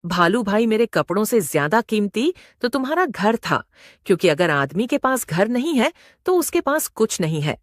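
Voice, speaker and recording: A woman's voice: mid-range voice, very animated, moderate pace, no room sound, studio quality, lightly acted. A woman voicing a kind, selfless mother elephant in a children's moral-story cartoon, speaking earnestly. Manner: earnest, explanatory. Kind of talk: Hindi animated moral story dialogue.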